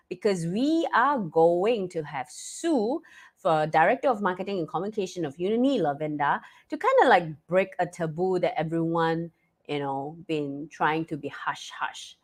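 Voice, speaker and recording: A woman hosting a live talk stream, hoarse and stuffy-nosed, chatty with many fillers and restarts. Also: lowish voice. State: hoarse, losing her voice; stuffy nose. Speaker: live talk show host.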